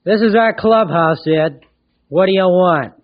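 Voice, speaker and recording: Casting: boy gang boss, high and unhurried, blunt, firm yet fair, vintage radio drama. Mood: stern, rejecting. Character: boy gang leader Mike, firm but forgiving. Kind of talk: old-time radio drama acting. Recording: dull vintage radio recording.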